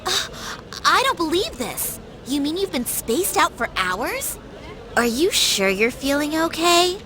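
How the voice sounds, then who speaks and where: A woman playing a chatty, outspoken high school classmate in a video game, talking in a casual, expressive way. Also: measured pace, exaggerated voice. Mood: exasperated concern.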